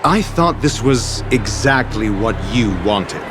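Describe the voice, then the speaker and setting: An actor voicing a cold, sardonic male scholar doctor in a game cutscene, speaking in a dry, cutting, measured way. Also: mid-range voice, lively intonation. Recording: game audio over music.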